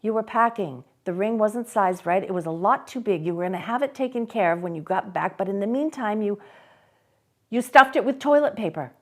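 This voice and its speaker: Young man in a hospital bed, read by a woman, teasing, quietly certain, a bit higher and louder than the narration. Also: animated, fairly quick, lightly acted. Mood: insistent. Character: young man, recovering patient, teasing, oddly knowing.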